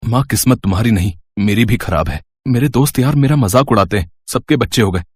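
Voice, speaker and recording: A man's voice: mid-range voice, expressive, brisk, breathy edge, dry recording. A man voicing a resentful, callous husband who complains with contempt in a family morality story. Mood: bitter complaint.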